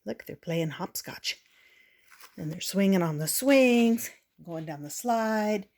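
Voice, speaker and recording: Grandma lady reading a kids book out loud, real slow, expressive, chats to the kids. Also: mid-range voice. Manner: expressive, addresses young listeners. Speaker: storytelling grandmother. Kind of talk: children's picture-book read-aloud with asides.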